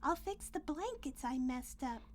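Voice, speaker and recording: A woman's voice: high-pitched, very animated, a little slow, voice pushed up. A woman voicing an earnest, apologetic young girl in a storybook reading.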